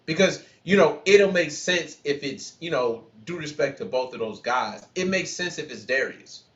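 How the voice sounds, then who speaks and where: A man's voice: a little high, animated, moderate pace, a bit bright. A man co-hosting a casual podcast, talking through his ideas with many restarts and "you know"s. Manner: thoughtful, restarts often, leans on "you know". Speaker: podcast co-host. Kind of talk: casual reaction podcast chat. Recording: roomy, dull lo-fi sound.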